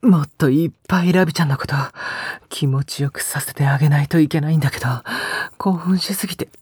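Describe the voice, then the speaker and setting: A woman playing a tender, doting young man in an intimate audio drama, murmuring softly and coaxingly with a breathy, often whispered delivery. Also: deep voice, expressive, normal speed, no echo, clean studio sound, heavily acted. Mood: breathless, urgent. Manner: soft, coaxing, affectionate murmur.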